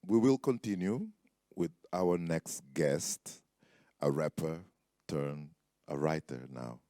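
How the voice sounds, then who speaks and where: A man hosting a live book festival event, speaking softly with small laughs as he moves to the next guest. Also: very deep voice, slow pace, no room sound, clean studio sound. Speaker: festival host.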